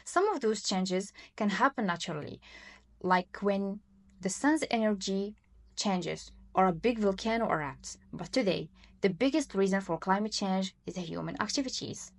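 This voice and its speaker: A young woman from Morocco co-hosts a podcast and explains things from a prepared script. Her voice has a middling pitch, and she reads with clear emphasis. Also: lively intonation, moderate pace. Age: 20s. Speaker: podcast co-host.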